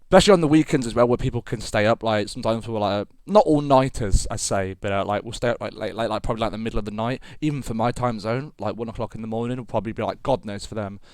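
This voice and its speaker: A man's voice: on the low side, fast talker, hardly any pauses, a little mellow, no room sound. British-born guy rambling on a podcast, swears a lot, clean dry mic. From Britain. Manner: rambling, sweary, lots of fillers. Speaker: podcast co-host. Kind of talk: casual chat.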